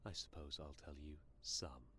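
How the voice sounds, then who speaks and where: A man playing a menacing, condescending inhuman entity in an audio drama, speaking in a sardonic, theatrical and deliberate manner. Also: a bass voice, pushed and bright, slight background noise. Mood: sardonic lecturing.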